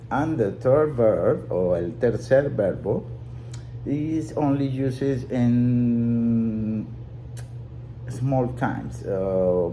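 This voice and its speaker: A man with a Spanish accent, a native Spanish speaker, teaching a language class in a deliberate, halting way and repeating key phrases for learners. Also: fairly low pitch, dark tone, slight background noise, rough recording. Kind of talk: classroom lesson explanation.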